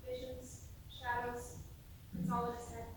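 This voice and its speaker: Woman playing a sensible high-voiced emigrant, noisy hall. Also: monotone, a little slow, natural voice. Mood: sceptical. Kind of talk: stage play acting. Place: theatre stage, picked up from afar. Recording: echoey hall, distant mic, clear noise.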